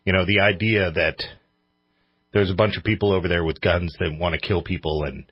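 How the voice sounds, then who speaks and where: A male radio host chats casually in a low, husky voice. He keeps things informal and jokey. The recording is dull and lacking in top end. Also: fairly even intonation, a little fast, dry recording. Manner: informal, joking. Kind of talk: casual chat.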